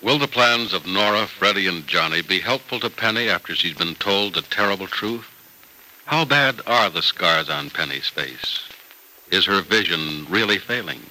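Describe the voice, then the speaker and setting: A man's voice: deep voice, expressive, moderate pace, sharp tone, a little hiss or hum, rough recording. A man working as a 1940s radio announcer, reading scripted copy with emphatic, polished salesmanship.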